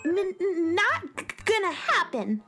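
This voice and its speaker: A woman voicing an anxious, sick eight-year-old alien girl, halting and stammering, in a cartoonish children's radio drama. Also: extremely high pitch, huge pitch swings, pushed and bright, faint music behind, exaggerated voice. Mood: stubborn.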